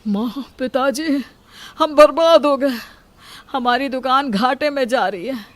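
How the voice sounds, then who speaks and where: A woman's voice: high-pitched, slow, no echo, pitched above the performer's own voice. Woman acting scheming, theatrical grown son. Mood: feigned despair. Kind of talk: dramatised moral storytelling.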